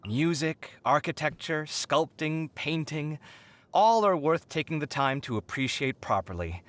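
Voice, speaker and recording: Flamboyant young artist. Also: male voice, fairly high pitch, unhurried. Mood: expressive. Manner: expressive and theatrical. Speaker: game character. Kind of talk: game character voice lines.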